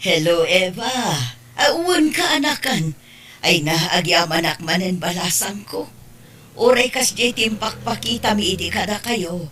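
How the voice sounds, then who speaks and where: A woman's voice: medium pitch, expressive, unhurried, fairly bright tone, a little hiss or hum. A woman playing an older, gossipy and tearful provincial aunt in a radio drama, with an Ilocano Filipino accent. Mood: tearful, grateful.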